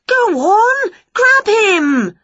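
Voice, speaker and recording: A woman voicing an excitable, dramatic female witch in a storybook, with a shrill, theatrical manner, in a dull, lo-fi recording. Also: a little slow, no echo. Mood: excited shouting. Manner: shrill, theatrical, over-the-top. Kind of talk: storybook character voice.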